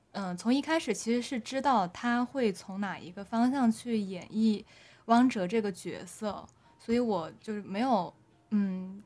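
A woman's voice: medium pitch, dark tone. A woman speaking as an audio drama director in a casual, unscripted interview, hesitant and often repeating words as she finds her phrasing.

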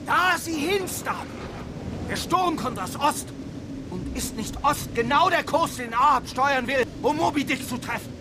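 An actor playing an anxious ship's mate in a radio play, urging and pleading over the storm. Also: male voice, extremely high pitch, expressive, a little slow. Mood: urgent warning. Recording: noisy radio play recording.

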